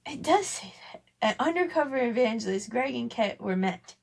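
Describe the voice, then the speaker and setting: Higher-voiced woman chatting casually. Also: lively intonation, moderate pace, creaky, slight background noise. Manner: rambling, thinking aloud. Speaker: podcast co-host. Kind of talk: casual podcast chat about family devotions.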